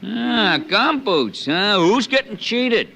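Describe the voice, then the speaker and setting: An actor playing a cagey, money-hungry cab driver in 1940s radio style, slangy and haggling. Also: male voice, high voice, very animated, slow, gravelly, full character voice. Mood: cagey, wisecracking. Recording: noisy vintage 1940s radio broadcast.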